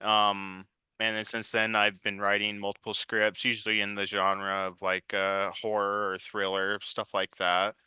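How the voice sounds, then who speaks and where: Crisp, bright-voiced young man, very dry sound. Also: on the low side, monotone, a little slow, no room sound. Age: 20s. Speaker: interview guest, writer and director. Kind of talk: casual conversational interview answers.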